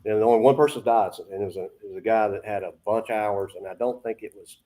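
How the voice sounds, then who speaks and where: A man's voice: lowish voice, fairly even intonation, fast, warm tone. A man chatting casually and informally as host of a sports talk show, rambling as he goes. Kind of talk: casual chat.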